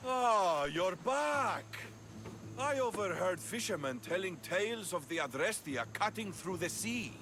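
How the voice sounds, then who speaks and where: A man's voice: high voice, expressive, unhurried, background noise. A man voicing a proud Spartan commander in a game cutscene, speaking bluntly and with command. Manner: blunt and commanding, with fierce swings. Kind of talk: video game cutscene acting. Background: orchestral score.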